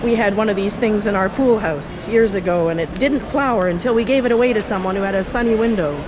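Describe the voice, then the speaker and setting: Woman, casual walking tour host, chatty, rambling, wry asides, medium pitch, handheld camera, very noisy urban background with traffic. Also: fast. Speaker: host and tour guide of a city walk video. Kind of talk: casual off-the-cuff walking commentary. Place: out and about at a London skyscraper and its streets. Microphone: handheld camera on a stick. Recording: noisy outdoor ambience with city traffic.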